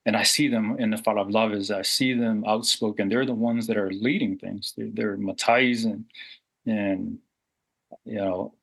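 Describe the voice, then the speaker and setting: A low-pitched man in his forties, born and raised in Samoa, speaking in a candid interview. He talks earnestly and reflectively, circling back with fillers and restarts as he thinks aloud. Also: steady tone, moderate pace, creaky, fairly bright tone, breathy edge, poor audio. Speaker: guest.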